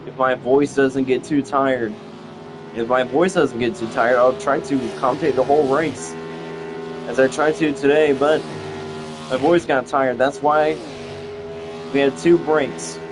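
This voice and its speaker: Young man calling a racing game, slow and halting. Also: medium pitch, steady tone. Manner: halting, repeats phrases, restarts. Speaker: race commentator. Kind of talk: play-by-play racing commentary. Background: music and engine noise.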